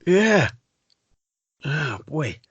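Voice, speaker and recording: British male co-host, husky, high and animated, dry close recording. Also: drawn-out words, no room sound, muffled recording. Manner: excitable, laughs a lot, swings up and down in pitch. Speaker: podcast co-host. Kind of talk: casual chat.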